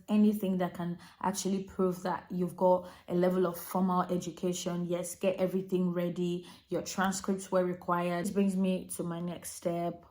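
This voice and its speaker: A woman's voice: lowish voice, dark tone. A young Nigerian woman, a nurse, talking directly to viewers with chatty, practical migration advice. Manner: chatty, direct, addresses viewers as you guys. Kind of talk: advice vlog to camera.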